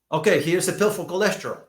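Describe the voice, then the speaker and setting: A man in his fifties, a doctor being interviewed, telling stories in a lively way, often restarting and repeating words. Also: a little high, echoey room. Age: 50s. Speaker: functional medicine doctor, guest. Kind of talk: conversational podcast interview.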